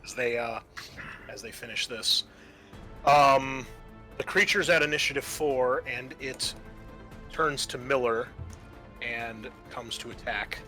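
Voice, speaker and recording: A man's voice: mid-range voice, slow, pauses often, pushed and bright, rough recording. A man acting as game master, explaining rules and narrating a tabletop roleplaying game in a matter-of-fact, conversational way. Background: background music.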